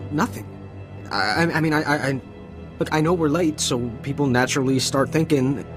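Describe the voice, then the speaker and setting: A man acting as a war-worn deserter in a game drama. His voice sits fairly high for a male, nervous and halting, with a pleading edge. Music plays clearly behind him. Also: lightly acted. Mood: nervous, evasive. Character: desperate, war-weary soldier who has deserted.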